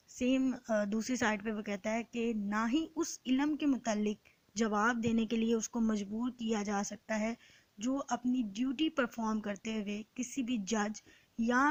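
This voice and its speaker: A woman's voice: a little high, no room sound, poor audio. A woman with a Pakistani accent giving a methodical, explanatory law lecture, often checking that listeners follow. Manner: methodical, checks understanding with short tags. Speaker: law teacher. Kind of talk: explanatory exam-prep lecture with line-by-line translation.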